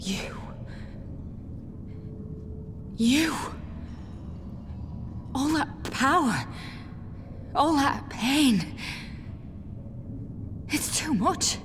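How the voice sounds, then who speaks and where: A woman's voice: high voice, animated, drawn-out words, pauses often, noisy background, full character voice. A woman voicing a bitter, vengeful young woman soldier in a video game cutscene, intense and anguished. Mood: stunned, pained.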